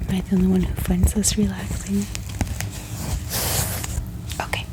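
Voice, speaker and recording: A woman speaking in a soft, breathy whisper, gently showing items in an ASMR haul and sometimes repeating phrases slowly. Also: medium pitch, little pitch movement, noisy background. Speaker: host of a bookish ASMR channel.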